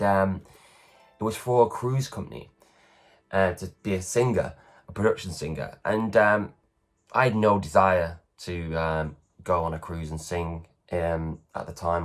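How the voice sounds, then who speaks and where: A man in his thirties from England, telling self-deprecating stories in a casual morning vlog, his voice low and worn after a late night. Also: fairly bright tone. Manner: chatty, self-deprecating, acts out others' lines. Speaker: vlogger, performer. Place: at home.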